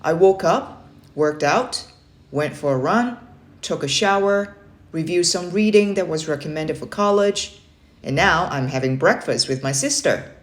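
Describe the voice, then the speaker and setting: A woman's voice: lowish voice, expressive, measured pace. A woman voicing a stern, motivating young Navy uncle in a children's story read-aloud, firm and emphatic. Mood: firm, matter-of-fact.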